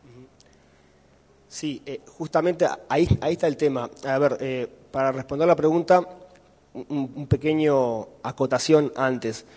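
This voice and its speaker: A man's voice: medium pitch, a little slow, darkish tone. A man with a Uruguayan Spanish accent giving a conference talk and answering questions, explaining step by step with fillers and checking in with the audience. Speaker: conference speaker. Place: conference hall. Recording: hall reverb from a lecture room.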